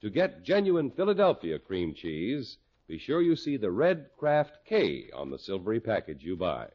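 A man's voice: lowish voice, wildly swooping pitch, moderate pace. An American man working as a 1950s radio announcer, reading scripted credits and sponsor messages. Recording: muffled old radio broadcast with music.

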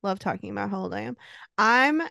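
A woman's voice: mid-range voice, brisk, vocal fry, bright, forceful tone, no echo, studio quality. A woman in her late thirties co-hosting a podcast, chatting casually and laughing often. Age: 30s. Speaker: podcast co-host. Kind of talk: casual chat.

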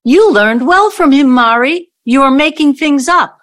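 A woman's voice: high-pitched, animated, unhurried, a bit bright. A woman in an audio play acting an older ex-girlfriend who is hesitant at first, then bitterly accusing.

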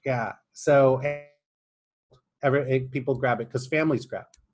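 A man's voice: mid-range voice, moderate pace, a little mellow. Guy hosting a travel chat, eager and upbeat, medium voice. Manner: enthusiastic, upbeat salesy. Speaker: podcast host, cruise seller. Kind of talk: casual podcast chat.

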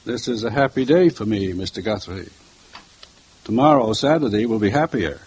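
An actor playing a grieving father set on revenge, speaking in halting, repetitive, politely intense phrases in a vintage radio crime drama. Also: lowish voice, animated, darkish tone, breathy edge. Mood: grimly pleased. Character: grieving father of a murdered girl, quietly fixated on revenge. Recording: hissy old 1950s radio recording.

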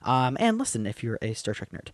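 A young man co-hosting a podcast, chatting casually, rambling, swearing freely and getting animated in bursts. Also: medium pitch, fairly quick, dry recording, studio quality. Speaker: podcast co-host. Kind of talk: casual chat.

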